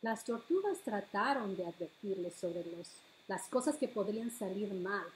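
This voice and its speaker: A middle-aged woman hosting a bilingual storytime as a children's librarian, reading picture books aloud with deliberate phrasing for small children. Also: medium pitch, animated, a little hiss or hum, poor audio. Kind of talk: bilingual picture-book read-aloud for preschoolers. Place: living room at home, indoors.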